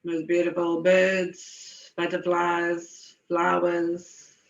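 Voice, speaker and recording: A woman slowly guiding a meditation in a soothing, unhurried way, with lingering pauses. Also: lowish voice, completely flat delivery, creaky. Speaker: guided meditation leader. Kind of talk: slow guided meditation. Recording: dull, echoey room recording.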